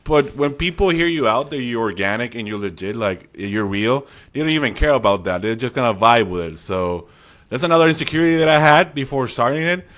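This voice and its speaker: A man speaks English as a second language, his first being Spanish, at a middle pitch. He talks as an upbeat, encouraging guest and often drops "man" and "you know" into his sentences. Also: expressive, fairly quick, a bit bright. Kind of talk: casual interview chat.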